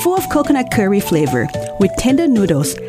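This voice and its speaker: A woman's voice: medium pitch, big pitch swings, fairly quick, over loud music. A woman from Thailand reading a radio ad for her food stall, enthusiastic. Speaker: restaurant owner. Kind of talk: radio ad read.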